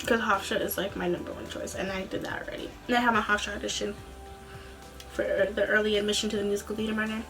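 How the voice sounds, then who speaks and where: A young woman telling a story in a casual, rambling vlog style, animated, with a slightly tired, tense voice. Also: mid-range voice, fast talker, over music. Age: young adult. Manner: animated, rambling, acts out quoted dialogue. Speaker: student singer and vlogger.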